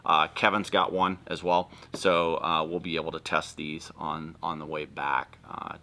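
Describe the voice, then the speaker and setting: A man's voice: a bass voice, level tone, unhurried, sharp tone. A man hosting a casual product walkthrough, explaining things informally and step by step. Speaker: video host.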